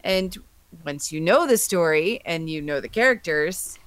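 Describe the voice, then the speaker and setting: A woman's voice: deep voice, animated, unhurried, fairly bright tone, no echo. Emphatic woman podcaster, close dry sound. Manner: emphatic, exasperated, blunt. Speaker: podcast panelist. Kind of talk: casual opinionated panel discussion.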